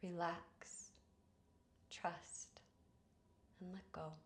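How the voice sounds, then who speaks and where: A woman guiding a meditation in a soothing, hushed manner, with breathy phrase endings and long pauses. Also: on the low side, little pitch movement, whispered. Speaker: yoga nidra guide. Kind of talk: guided meditation narration.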